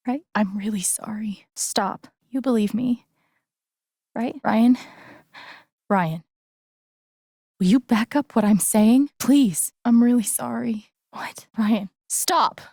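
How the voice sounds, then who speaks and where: A woman playing an earnest, wounded young woman in a naturalistic video game drama, speaking breathily with slow, deliberate, emotionally weighted phrasing. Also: a little high, dry recording, clean studio sound, heavily acted. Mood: desperate, indignant, then pleading, earnest. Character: earnest, wounded young woman confronting betrayal with quiet conviction.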